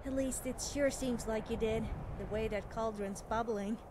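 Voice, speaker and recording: A woman's voice: fairly high pitch, steady tone, moderate pace, noisy background, lightly acted. A woman voicing an eager, scatterbrained young would-be witch in a video game, chatty and self-doubting. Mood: eager, nervous. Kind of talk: video game character dialogue.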